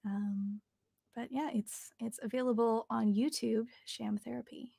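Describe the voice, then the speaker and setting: A woman's voice: a little high, fairly even intonation, measured pace, vocal fry, warm tone, slightly rough, no echo. A young adult woman answering questions in a conversational interview, recorded close up at home. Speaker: actor and voice artist being interviewed. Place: home recording studio, indoors.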